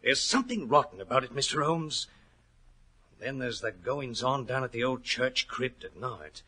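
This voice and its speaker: An actor playing a worried, plain-spoken racehorse trainer in a 1940s radio drama, earnest and respectful, with rustic turns of phrase. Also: male voice, mid-range voice, animated, normal speed, sharp tone, slightly rough. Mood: troubled, confiding. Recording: old 1940s radio recording.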